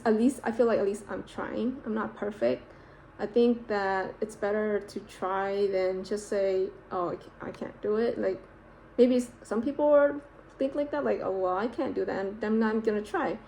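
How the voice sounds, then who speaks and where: A woman's voice: mid-range voice, unhurried, darkish tone, a little hiss or hum, poor audio. A woman talking to her camera in a casual vlog, rambling and self-reflective with many fillers and restarts, a native Vietnamese speaker. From United States, California. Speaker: vlogger.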